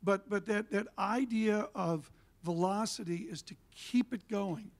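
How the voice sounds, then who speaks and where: A man's voice: sits high, unhurried, creaky. An older man speaking emphatically on a conference stage, gesturing as he holds a handheld mic. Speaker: panelist, TV and media figure. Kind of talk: conference panel talk.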